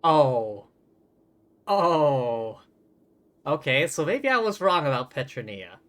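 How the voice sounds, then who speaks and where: A woman's voice: sits low, big pitch swings, takes it slowly. A woman game streamer giving casual, playful, reactive livestream commentary. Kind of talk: casual livestream commentary, reading along and swearing.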